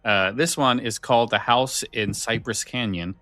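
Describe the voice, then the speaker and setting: A man's voice: mid-range voice, normal speed, over loud music. A man co-hosting a podcast, chatting casually in an emphatic, enthusiastic way. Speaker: podcast co-host. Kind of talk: casual chat about scary stories.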